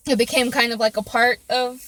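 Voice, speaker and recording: A woman's voice: on the high side, little pitch movement, fairly quick, a bit bright, a little hiss or hum. A woman guesting on a casual podcast, giggly and hesitant with many fillers, recorded on a phone inside a car. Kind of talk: casual chat.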